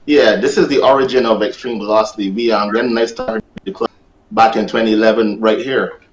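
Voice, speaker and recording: A man who coaches athletics, answering interview questions in a measured way, repeating words for emphasis. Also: lowish voice, fairly even intonation, highs cut off, slight background noise.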